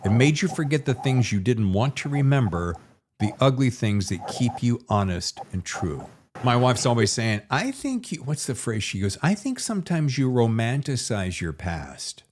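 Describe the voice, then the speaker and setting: A man hosting a conversational interview, musing in wordy, measured phrases. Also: medium pitch, sing-song, darkish tone, slight background noise. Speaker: podcast host.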